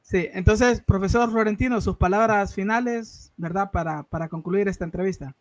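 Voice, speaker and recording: A man's voice: high voice, normal speed, no echo. A man hosting an online interview show, closing it politely and often adding a questioning tag, over a video call. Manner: polite, filler-heavy, repeats "¿verdad?". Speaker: host.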